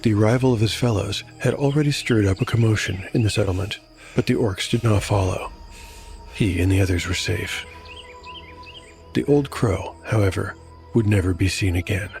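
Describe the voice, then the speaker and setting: A man with a low voice narrates dark fantasy in a measured, deliberate way. He works as storyteller and game master of a solo tabletop tale, with clear music behind him.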